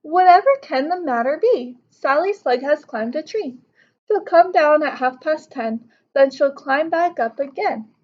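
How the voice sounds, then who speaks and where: A woman's voice: high voice, expressive, a little slow, highs cut off. A woman in her thirties reading a picture book aloud and explaining it to children in an instructive way. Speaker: teacher reading to young pupils. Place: living room couch at home.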